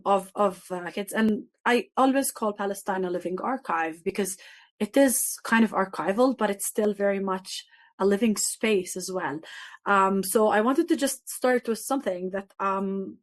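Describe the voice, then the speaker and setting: Woman, 30s, Arabic native from Jerusalem; earnest, reflective panelist, mid-pitched, dry close sound. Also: no room sound. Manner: earnest, reflective, with many fillers and restarts. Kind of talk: panel talk.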